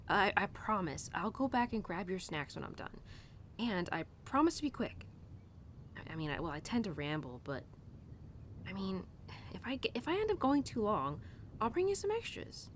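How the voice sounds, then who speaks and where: A woman's voice: medium pitch, big pitch swings, normal speed, pauses often, a little hiss or hum, lightly acted. A young woman playing an earnest, rambling cowgirl who confides in her horse in an intimate roleplay audio, hesitant and giggly, with stammers and restarts. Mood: coaxing, shy.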